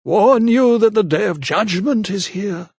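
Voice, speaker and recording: A man voicing a zealous, menacing old vicar who speaks in a slow, unctuous, preaching manner, in a dry, close audiobook reading. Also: high-pitched, lively intonation, vocal fry, a little mellow, husky, no room sound, pitched above the performer's own voice, heavily acted.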